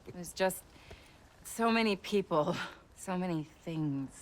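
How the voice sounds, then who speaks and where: An actress playing an educated, earnest, self-deprecating genteel young widow in a video game, speaking in a refined, articulate way. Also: female voice, lowish voice, expressive, a little slow. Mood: reflective, rueful. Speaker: voice actor. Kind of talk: cinematic video game acting.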